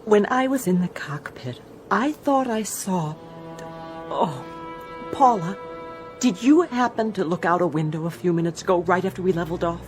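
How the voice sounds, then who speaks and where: A woman's voice: on the low side, expressive, normal speed, a little mellow, faint music behind, lightly acted. Woman as a guarded senior flight attendant. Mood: shaken. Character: shaken but controlled senior female flight attendant. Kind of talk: radio drama acting.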